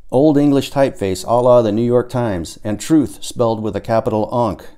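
A man's voice: mid-range voice, moderate pace, mellow. A man reading his own novel aloud as audiobook narration.

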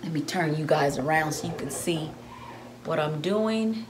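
A woman's voice: deep voice, measured pace, roomy sound, background noise. A woman in her thirties chatting to viewers as she shows her cooking steps in a home kitchen, with the camera set on the counter. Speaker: home baker, channel host. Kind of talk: casual cooking demonstration. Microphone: camera on the counter, at arm's length.

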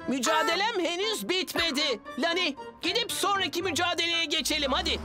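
A woman's voice: extremely high pitch, animated, a little fast, music in the background. A woman voicing an eager, boastful young boy bus with childlike, exclamatory delivery in a children's cartoon dub. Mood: eager, determined. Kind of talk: animated children's cartoon dubbing.

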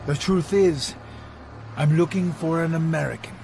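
An actor playing a tough, laconic mercenary agent in a video game, with a gravelly, hard-boiled delivery. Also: male voice, fairly high pitch, normal speed, a little mellow, slight background noise. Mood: cool, terse. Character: tough, terse male mercenary agent in his thirties. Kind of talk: video game cutscene acting.

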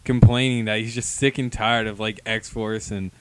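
A man's voice: lowish voice, steady tone, normal speed, a bit bright, clear, resonant tone. A young man co-hosting a review podcast, giving casual commentary into a handheld microphone in a small room. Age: young adult. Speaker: podcast co-host.

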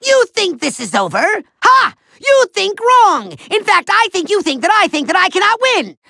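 Shrill pompous little evil overlord for a video game, swoops all over, really forceful and theatrical. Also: male voice, no room sound, clean studio sound, full character voice. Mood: defiant, gloating. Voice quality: shrill, gravelly. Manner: bombastic, theatrical, drawn-out emphatic words. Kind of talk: video game villain acting.

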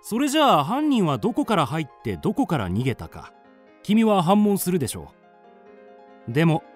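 Earnest young male amateur sleuth, radio drama; very expressive, deliberate, polite, slightly high voice. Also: faint music behind, exaggerated voice. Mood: confident accusing. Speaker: narrator and lead character. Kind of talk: radio drama acting and narration.

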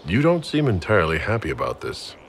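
A man voicing the calm, measured leader of a fantasy order in a video game. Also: low voice, a little hiss or hum. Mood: calm, probing. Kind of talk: video game dialogue acting.

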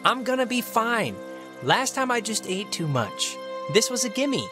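A young American man playing a goofy, excitable, rambling teenage stoner in a video game. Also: high-pitched, measured pace. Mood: defensive. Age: young adult. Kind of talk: naturalistic video game dialogue. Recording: music behind the voice.

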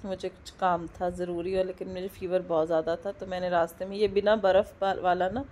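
Vlogger woman in her thirties, hoarse from a cold, a bit low, chatty voice-over, faint hiss behind. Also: level tone, a little fast, darkish tone. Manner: chatty, loosely phrased, mixing English words into her talk. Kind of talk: casual vlog voice-over. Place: indoors at home, recording the voice-over. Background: a dog barking now and then.

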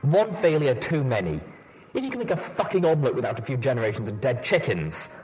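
A man's voice: medium pitch, very animated, a little fast, a little mellow, pitched above the performer's own voice, natural voice. A man voicing a bitter, irritated pony scientist dictating a sarcastic journal in an audiobook. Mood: bitter, exasperated. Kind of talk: audiobook character voice.

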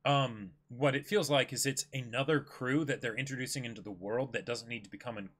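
A young man co-hosting a livestream in casual discussion, thinking aloud in halting, broken phrases. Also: mid-range voice, a bit bright. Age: young adult. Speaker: co-host. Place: small indoor room, seated.

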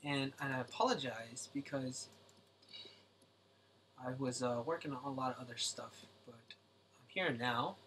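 Male streamer, mid pitch, slightly raspy, hushed casual chat, faint background noise. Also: a little slow, long pauses. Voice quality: speaks softly to keep voice down. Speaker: livestream host drawing. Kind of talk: casual late-night livestream chat. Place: home at night.

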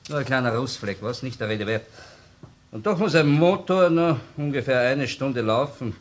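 A man's voice: mid-range voice, expressive, moderate pace, close to an everyday voice. A man playing a sardonic, relentless police interrogator in a radio crime drama, with a Viennese accent and taunting, repetitive questions. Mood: accusing. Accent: Austrian, Viennese. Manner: taunting rhetorical questions, repeats words, tag questions. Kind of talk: German-language radio crime drama acting.

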